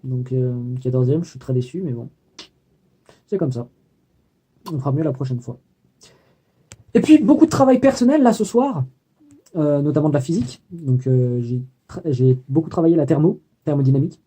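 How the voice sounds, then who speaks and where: A young man recaps his day for a video diary, his voice very dark and mellow and sitting a touch high. He talks hesitantly, filling pauses and correcting himself as he goes. The delivery stays casual and personal. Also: breathy edge. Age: young adult. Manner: hesitant, many fillers and self-corrections. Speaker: student vlogger.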